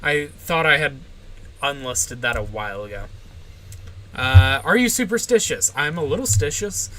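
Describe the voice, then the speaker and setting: A young woman with a general American accent, streaming live and reading viewer questions aloud. She gives short, deadpan answers with plenty of "uh" and "like". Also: deep voice, moderate pace, a little hiss or hum. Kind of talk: casual livestream Q&A, answering chat.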